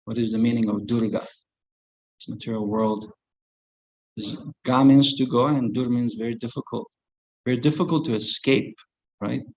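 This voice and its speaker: A man's voice: mid-range voice, fairly even intonation, moderate pace, pauses often, darkish tone, lo-fi sound. A man giving a devotional lecture as a spiritual teacher, conversational, chuckling, and asking rhetorical questions.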